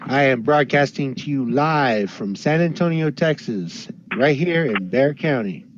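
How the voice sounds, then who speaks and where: A man's voice: medium pitch, animated, measured pace. A man announcing and greeting callers on a live internet broadcast. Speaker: livestream broadcaster. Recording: dull, slightly noisy livestream audio.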